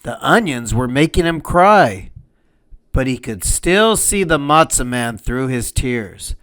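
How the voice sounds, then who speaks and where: A man narrating a children's storybook aloud in a deliberate, expressive way. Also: mid-range voice, clear, resonant tone.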